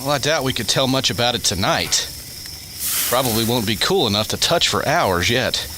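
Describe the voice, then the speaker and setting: Male actor as wry scientist, middle pitch, easygoing, radio play, clear hiss behind. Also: expressive, moderate pace, natural voice. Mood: thoughtful. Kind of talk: radio drama acting.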